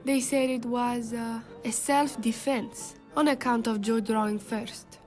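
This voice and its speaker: A woman's voice: fairly high pitch, steady tone, a little slow, a little mellow, soft background music, close to an everyday voice. An actress playing a friendly young saloon woman who talks in a chatty, fond way.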